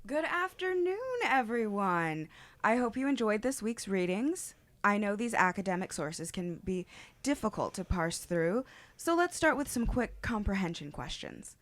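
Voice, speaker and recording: A woman's voice: medium pitch, animated, moderate pace, no room sound, lightly acted. A woman playing an encouraging class instructor in an amateur audio drama, speaking in an orderly classroom manner. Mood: brisk, encouraging. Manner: clear, orderly classroom address.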